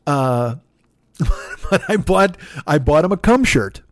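A man hosting a podcast, telling a long comic story in a rambling, animated way, cursing constantly and acting out the exchanges he quotes. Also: on the high side, takes it slowly, no room sound, studio quality. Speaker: podcast host. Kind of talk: profane comedic storytelling.

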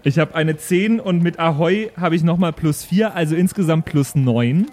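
A man improvising casually as a tabletop role-player, halting and a bit cocky as his character. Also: on the high side, darkish tone.

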